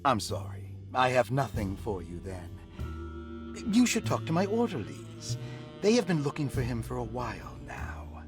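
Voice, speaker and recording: An actor playing a suave, evasive doctor in a video game, speaking with measured, condescending politeness. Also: male voice, medium pitch, sing-song, music in the background. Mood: evasive, courteous. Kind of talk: video game cutscene acting.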